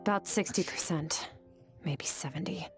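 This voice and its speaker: A stoic young swordswoman from an anime game. The voice sits low, with a cool, terse, deadpan delivery that sounds self-critical and aloof, and faint music plays behind it. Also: normal speed, creaky, husky, in a whisper, exaggerated voice. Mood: cool, flat. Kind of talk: anime video game voice acting.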